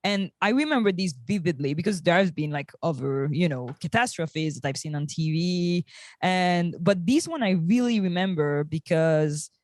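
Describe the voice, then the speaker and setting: A woman from France, co-hosting a podcast in a measured, reflective, casual way with pauses. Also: on the low side, animated, darkish tone, dry recording, clean studio sound. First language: French. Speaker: podcast co-host.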